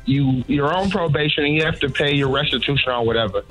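A man's voice: a little high, fast, a bit bright, rough recording. A man asking questions on a radio show in a casual, earnest and colloquial way. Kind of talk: casual, conversational. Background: music.

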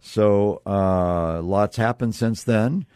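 An American man hosting a casual radio interview in a halting, conversational way. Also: on the low side, dark tone, smooth, no echo, studio quality. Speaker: radio show host.

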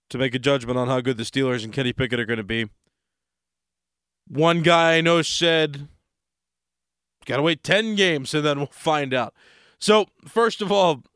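An American man hosting a sports talk radio show in a casual, unhurried monologue, pausing mid-thought, his voice slightly hoarse. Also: fairly high pitch, fairly bright tone, no echo, studio quality.

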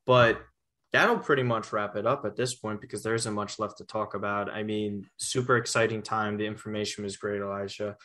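A man's voice: fairly low pitch, fairly even intonation, a little fast, sharp tone. A man in his twenties hosting a casual sports podcast, talking loosely with frequent restarts. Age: 20s. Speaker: podcast host.

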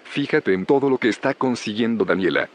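Low-voiced, self-assured inventor played by a man, Spanish accent. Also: expressive, natural voice. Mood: firm, persuasive. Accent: Spain. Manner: firm, measured, persuasive. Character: self-assured male inventor, content in his secluded village. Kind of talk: audio drama acting, scripted dialogue.

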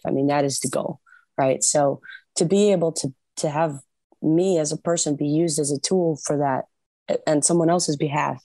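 A singer chatting as an interview guest, animated and laughing often, with many restarts. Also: female voice, low voice, no room sound. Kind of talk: casual interview chat.

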